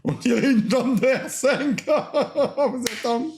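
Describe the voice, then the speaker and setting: A man's voice: extremely high pitch, takes it slowly, darkish tone, a little hiss or hum. A French man streaming a reaction video in a casual, mocking way, laughing often and repeating lines.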